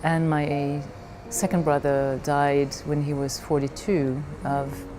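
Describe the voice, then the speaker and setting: A middle-aged woman from Belgium telling her personal story in a reflective way, haltingly searching for her words. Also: low voice, little pitch movement, background noise. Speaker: leadership coach.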